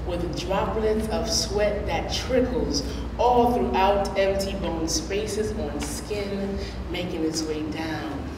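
Slow, rhythmic woman poet in an echoey hall. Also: fairly low pitch. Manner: slow, rhythmic, emphatic delivery with dramatic pauses. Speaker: spoken word poet. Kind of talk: slam poetry performance. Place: college lecture hall. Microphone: standing mic at a lectern, picked up from a distance. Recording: echoey hall from far off, with noise.

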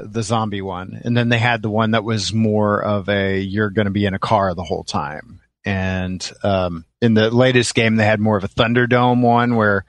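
A man hosting a podcast, talking casually about video games in a halting, rambling way. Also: lowish voice, level tone. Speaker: podcast host.

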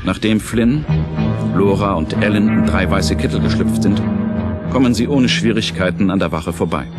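A male narrator reads a scripted audio drama. His voice sits on the low side, and music plays clearly underneath. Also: moderate pace.

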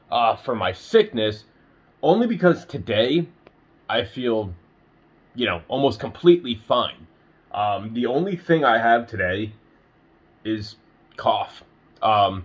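A man's voice: on the low side, breathy edge. A young man talking casually to his phone camera in a bedroom, rambling with lots of fillers, still a little nasal from being sick. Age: young adult. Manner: chatty and rambling, many "um"s and "you know"s. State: a little nasal, recovering from illness. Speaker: vlogger. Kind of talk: casual daily update vlog. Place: bedroom indoors. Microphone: phone camera at arm's length.

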